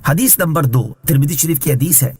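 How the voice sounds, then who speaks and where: A man reading scripted Islamic translation and commentary in a measured, formal, instructive way, as a scholar would. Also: on the high side, warm tone, husky. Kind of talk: scripted religious exegesis narration.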